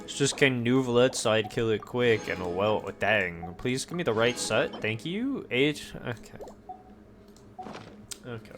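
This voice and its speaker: Male game streamer, unhurried delivery, casual self-talk that slips between outbursts and mumbling, faint music behind. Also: medium pitch, lively intonation. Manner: self-talking, drifts between exclamations and muttering. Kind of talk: casual gameplay commentary, muttering to self.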